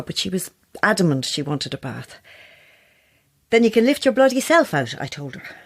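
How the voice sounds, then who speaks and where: A woman's voice: low voice, darkish tone, breathy edge, no echo. A woman playing a sceptical, guilt-ridden novelist in an audio horror drama.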